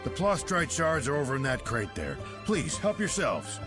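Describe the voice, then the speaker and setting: An actor voicing a helpful, easygoing warehouse manager in an anime game. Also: male voice, a little high, huge pitch swings, normal speed. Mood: helpful, obliging. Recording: game music behind.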